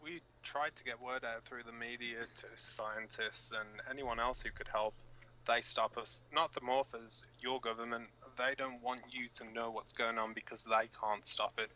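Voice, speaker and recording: A man's voice: mid-range voice, steady tone, normal speed, sharp tone, a little hiss or hum, lightly acted, poor audio. A man playing a blunt, cynical resistance fighter co-hosting an underground broadcast in a radio drama, speaking informally. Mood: grim warning.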